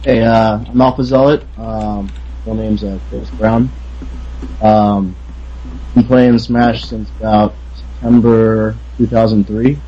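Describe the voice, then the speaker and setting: A man introducing himself as a podcast guest, halting with many fillers. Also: lowish voice, level tone, dark tone, breathy edge, highs cut off.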